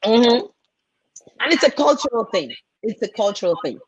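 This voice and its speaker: A woman with a Nigerian accent, a film and TV producer, telling animated stories as a guest on a video call. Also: fairly high pitch, normal speed, husky, no room sound, muffled recording. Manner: animated, repeats words for emphasis. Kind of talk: candid storytelling in a conversation.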